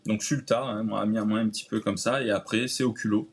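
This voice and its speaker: A man's voice: fairly low pitch, steady tone, quick pace. A man from Réunion with a Réunionese accent, chatting casually as a guest and filling his sentences with hesitant fillers. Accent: Réunionese French. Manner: hesitant, many "euh" fillers, creole-tinged phrasing. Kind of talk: casual interview chat.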